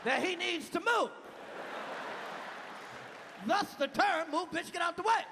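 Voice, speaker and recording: A man in his thirties doing stand-up on a big stage, his voice high and gravelly as he shouts punchlines into a handheld mic. The sound is a live hall recording with a long reverb tail, and a loud crowd and noise sit behind him. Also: animated, a little slow. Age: 30s. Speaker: stand-up comedian. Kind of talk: stand-up comedy set. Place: large venue on stage.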